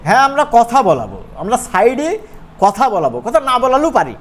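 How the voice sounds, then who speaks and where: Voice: mid-range voice, huge pitch swings, brisk, a little hiss or hum. An animation course instructor with a regional Bangladeshi Bengali accent, teaching informally and often checking in with questions. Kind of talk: informal live software tutorial.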